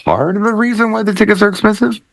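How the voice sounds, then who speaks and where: A man chatting casually on a panel with wry, animated interjections. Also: high-pitched, fast talker, creaky, no echo. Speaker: DJ and podcast panelist.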